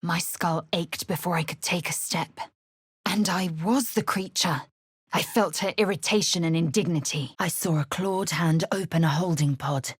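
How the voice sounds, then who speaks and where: A woman playing a fierce, haughty githyanki warrior in a video game, with a husky, breathy edge and clipped, imperious delivery. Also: fairly low pitch, normal speed, no echo, studio quality. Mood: brooding recollection. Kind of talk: video game character acting.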